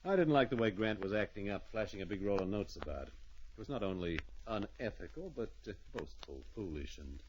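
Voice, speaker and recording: A man plays a guarded Old West gambler in a radio serial. His voice is very low and he picks his words coolly and evenly. A little noise sits behind the vintage recording. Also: animated, a little fast, muffled recording, natural voice. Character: cool, guarded professional gambler. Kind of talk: old radio western acting.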